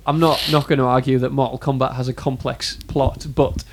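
A man's voice: medium pitch, flat delivery, a little fast, a little hiss or hum. A man in his thirties with an ordinary mid-range voice. He chats casually on a podcast, with wry asides and frequent laughs. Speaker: podcast co-host. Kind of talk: casual chat.

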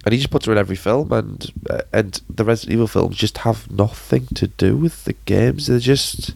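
A man's voice: fairly low pitch, a little fast, vocal fry, a little mellow, husky, no room sound. A British man co-hosting a casual film podcast, bluntly opinionated with a dry, sarcastic streak. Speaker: podcast co-host.

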